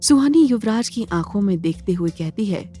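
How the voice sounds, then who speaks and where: A woman narrating a scripted audio drama in a measured, dramatic storytelling manner. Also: mid-range voice, expressive, warm tone, breathy edge, faint music behind.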